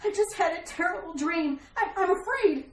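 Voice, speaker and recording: Very high-pitched, frightened wife played by a woman. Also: animated, moderate pace. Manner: anxious, imploring, melodramatic. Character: frightened, pleading wife kept confined at home. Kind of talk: retro radio drama acting. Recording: roomy echo, faint noise.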